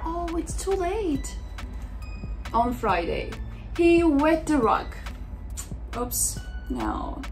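A young woman reads a picture book aloud to children in an expressive, exaggerated manner, with short, simple asides about the pictures. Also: sits high, very slow, creaky, long pauses, soft background music. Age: young adult. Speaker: children's story reader.

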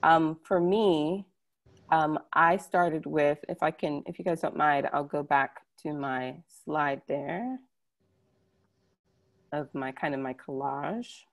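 Female webinar presenter, low register, conversational delivery that speaks directly to the audience. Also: slow pace. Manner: conversational, directly addressing listeners. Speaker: conference presenter. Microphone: computer mic on a video call.

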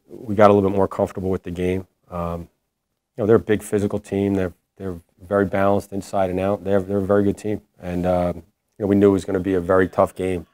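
A man in his forties, a head basketball coach, answering postgame questions in a halting way with many restarts. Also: sits low, darkish tone, no room sound. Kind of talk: postgame press conference answers. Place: indoor press room before a logo backdrop. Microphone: lapel mic.

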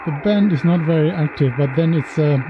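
A man in his fifties giving a casual gear demo as a hobbyist host, pausing hesitantly mid-sentence. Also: fairly high pitch. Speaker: radio hobbyist and video host. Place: small indoor room full of radio gear. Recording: dull lo-fi sound with loud radio noise.

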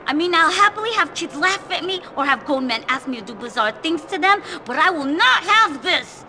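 A woman playing a tiny, furious, indignant circus performer in a video game, shrill and ranting. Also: lively intonation, normal speed, pushed and bright, slight background noise, heavily acted.

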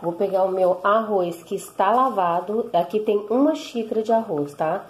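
A woman's voice: medium pitch, moderate pace. A Brazilian woman explaining a recipe step by step at her home kitchen stove, filming one-handed with her phone and checking in with viewers constantly. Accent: Brazilian Portuguese. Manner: chatty, explains step by step, frequent tag questions. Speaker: home cook vlogger. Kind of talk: casual cooking tutorial. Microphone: handheld phone camera.

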